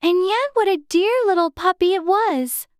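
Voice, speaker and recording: A very high, piping little girl, curious and well-mannered, musing aloud in a prim, wondering voice. The storybook reading is dry and close, with no room around it. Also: normal speed, no echo. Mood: wistful. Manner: prim, wondering, talks to herself. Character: curious polite young girl. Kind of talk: dramatized audiobook reading.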